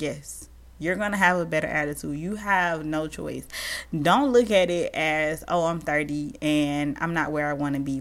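A thirty-year-old woman hosting her own podcast, talking casually and with animation straight to her listeners. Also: fairly low pitch. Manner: animated and chatty, addresses listeners as y'all. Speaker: podcast host. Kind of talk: casual solo podcast chat.

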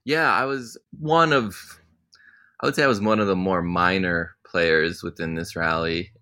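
Young male interview guest, very deep voice, easygoing and reflective delivery. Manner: relaxed, thoughtful, many ums. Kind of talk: casual interview answers.

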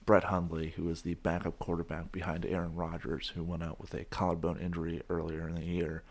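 A young man with a very low, gravelly voice that is hoarse from shouting the day before. He chats casually and goofily as a podcast host, rambling and restarting his sentences. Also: monotone, fairly quick. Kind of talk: casual chat.

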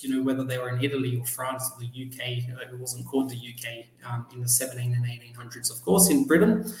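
A young man with an Australian accent answers interview questions in a measured, explanatory way, often pausing on um and uh. His pitch is an everyday middle. The recording is echoey, as if from a bare room. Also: darkish tone. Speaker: guest, journalist and PhD student.

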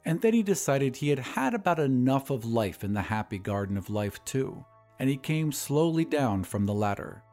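A man's voice: mid-range voice, big pitch swings, moderate pace, darkish tone. A man narrating a scripted story dramatically as host, with music behind him.

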